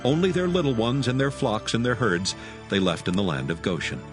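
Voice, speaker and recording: A man's voice: medium pitch, very animated, fairly quick. A man narrating scripture as the storyteller of a dramatized audio Bible. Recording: music under the voice.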